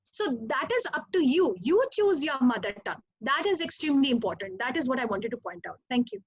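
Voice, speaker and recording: High-voiced woman teacher. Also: big pitch swings, brisk, husky, no echo, highs cut off. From Dubai. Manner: earnest, conversational, frequent "you know" and "all right?". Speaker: language teacher, panelist. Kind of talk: panel discussion.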